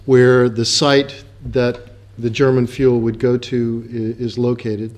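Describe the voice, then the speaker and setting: Older man, American accent, flat delivery, halting speech, conference room, table microphone. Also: mid-range voice. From United States. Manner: halting, with frequent restarts and fillers. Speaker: guest speaker, activist. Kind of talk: lecture in English with consecutive interpretation.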